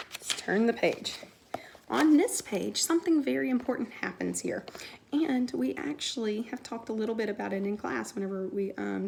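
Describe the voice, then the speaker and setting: A woman teaching young pupils through a read-aloud lesson, posing questions and answering them in an instructional way, with a creaky voice. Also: mid-range voice, slight background noise. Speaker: elementary school teacher.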